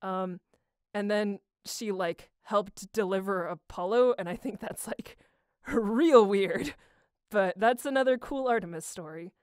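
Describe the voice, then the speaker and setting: A woman's voice: mid-range voice, unhurried, clear, resonant tone, dry recording, clean studio sound. A young woman telling stories in a casual, slangy, irreverent way, rambling as she goes. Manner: slangy, irreverent, animated retelling with acted quotes. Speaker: amateur voice actor answering a question.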